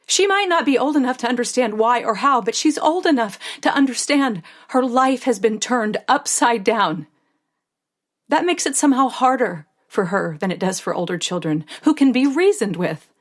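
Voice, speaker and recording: An American woman playing a stressed, devoted mother of a small daughter in a first-person audio drama, speaking in a confiding, expressive way that moves between tenderness and exasperation. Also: fairly high pitch, moderate pace. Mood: frustrated, anxious.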